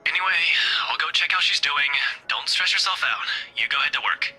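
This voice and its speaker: A man playing a gruff, blunt young police detective with a protective side in a visual novel game. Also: a little high, animated, a little fast, sharp tone, low-quality recording. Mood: serious, reassuring. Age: young adult. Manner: curt, blunt, dry. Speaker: game voice actor. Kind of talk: dramatic visual novel dialogue. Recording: music behind the voice.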